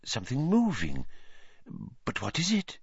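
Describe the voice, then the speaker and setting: A man voicing an airy, theatrical older female seer who teaches, breathy and murmuring. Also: mid-range voice, wildly swooping pitch, unhurried, dry recording, highs cut off, pitched above the performer's own voice, heavily acted. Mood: ominous.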